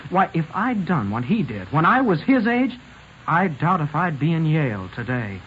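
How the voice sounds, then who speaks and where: An actor playing a brash, self-righteous college-age older brother in a 1940s radio play. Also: male voice, a little high, very animated, moderate pace. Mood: indignant. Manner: brash, exclamatory. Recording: dull, noisy vintage radio recording.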